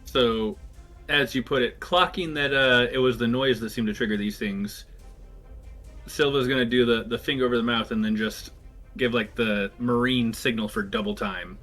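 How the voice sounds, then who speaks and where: A man playing a tabletop game, describing his character's moves in a brisk, matter-of-fact way. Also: medium pitch, steady tone, fairly bright tone, soft background music. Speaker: player. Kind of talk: casual tabletop play, declaring character actions.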